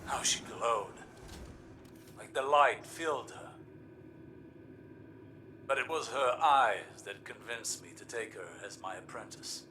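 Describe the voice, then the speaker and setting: An actor playing a proud, regretful old mentor in a video game, reflective and deliberate. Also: male voice, medium pitch, pushed and bright, slight background noise. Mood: wistful. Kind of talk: dramatic video game acting.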